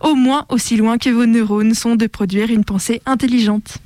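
A woman's voice: on the high side, steady tone, moderate pace, very noisy. A woman presenting scripted political commentary on the radio in a militant, opinionated manner.

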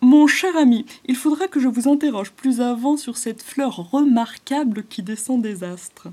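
A woman's voice: high voice, normal speed, mellow, pitched above the performer's own voice. A woman doing a pompous scholarly woodpecker. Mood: grand. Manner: grand and formal.

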